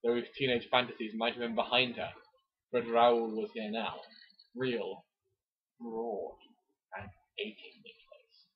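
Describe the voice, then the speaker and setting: A man gives a mock-dramatic amateur reading of romantic prose. His mid-range voice is breathy and rough-edged. The recording sounds dull and lo-fi. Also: mid-range voice, a little slow. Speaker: amateur narrator.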